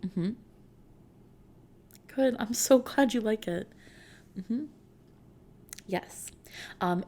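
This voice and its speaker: A woman playing a friendly, reassuring technician at a futuristic customization service in a soft-spoken, casual ASMR roleplay. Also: medium pitch. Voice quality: soft, creaky. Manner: gentle, reassuring, casual, unhurried.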